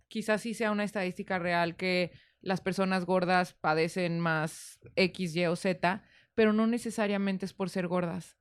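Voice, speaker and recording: Clear-voiced Mexican woman hosting a podcast. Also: medium pitch, dry recording. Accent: Mexican Spanish. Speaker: podcast host. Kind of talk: conversational interview.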